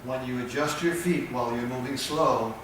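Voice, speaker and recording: A man giving patient, plain spoken instruction while he demonstrates, pausing between short phrases. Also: mid-range voice. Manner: plain, patient explaining with pauses. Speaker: tai chi instructor. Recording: echoey, distant with background noise.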